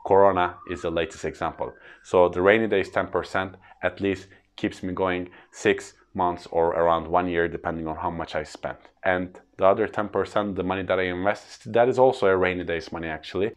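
Guy in his 30s, turkish accent, really deep voice, earnest money-tips vlog. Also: steady tone, a little fast, few pauses. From Turkey. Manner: earnest, plain explaining. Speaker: freelance trainer. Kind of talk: personal finance vlog to camera.

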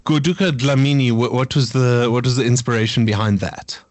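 A man interviewing a musician, asking questions in halting, restarting phrases. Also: mid-range voice, no echo.

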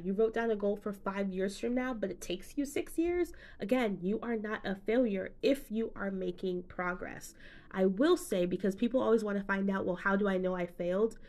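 A woman's voice: mid-range voice, darkish tone. Woman podcast host and business coach, casual and upbeat, speaks straight to the listener. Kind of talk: solo motivational podcast talk.

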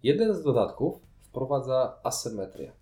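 A man with a soft, mellow voice and a middle pitch, discussing games casually as a podcast co-host. He phrases things analytically and at some length, in a very roomy, reverberant space. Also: sing-song, moderate pace. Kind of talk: casual board game discussion. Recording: roomy, echoing room sound.

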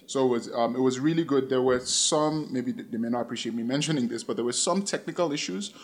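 A man's voice: mid-range voice, fast. A man chatting casually as a podcast co-host, hesitant as he searches for words. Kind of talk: casual conversational chat.

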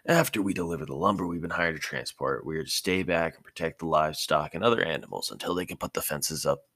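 A man voicing a steady adult ninja team leader in a story reading, instructing in a matter-of-fact way. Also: rumbling low, quick pace, no echo, studio quality, exaggerated voice.

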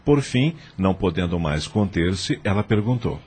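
Very deep, expressive male narrator. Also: moderate pace, slightly rough. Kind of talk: scripted radio drama narration.

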